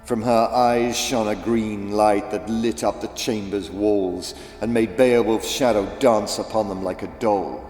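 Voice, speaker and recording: Deep-toned theatrical male storyteller in echo. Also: fairly even intonation, darkish tone. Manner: theatrical, deliberate. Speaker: audiobook narrator. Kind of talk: dramatic scripted storytelling for children. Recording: echoey reverb with faint music.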